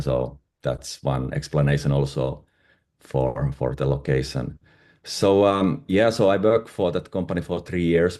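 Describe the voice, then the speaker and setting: Very deep, mellow Finnish-accented man. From Finland. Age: middle-aged. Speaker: guest, independent pharmaceutical consultant. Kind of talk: conversational interview answers.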